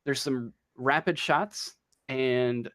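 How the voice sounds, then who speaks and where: Male game master, slow delivery, hesitant and feeling for words as he improvises the story. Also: medium pitch, a bit bright. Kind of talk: improvised narration of a tabletop role-playing game.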